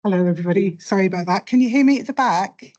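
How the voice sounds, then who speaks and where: Woman, mid pitch, earnest and pleading, room audience, slightly muffled recording. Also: rapid-fire, darkish tone. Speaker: project lead speaker. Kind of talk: welcoming address to an audience. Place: event room without mic.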